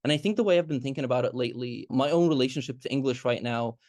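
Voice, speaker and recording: Male editor for a roundtable, medium pitch, searching and earnest delivery, dry sound. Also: very fast, a little mellow, dry recording. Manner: earnest, searching, reflective with many restarts. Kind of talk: roundtable discussion.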